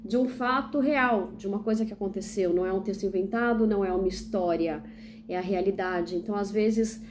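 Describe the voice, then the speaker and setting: A woman's voice: medium pitch, warm tone, a little hiss or hum. A young woman from Brazil, a teacher trainee, explaining a literacy activity step by step and asking and answering her own questions. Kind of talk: instructional demonstration video.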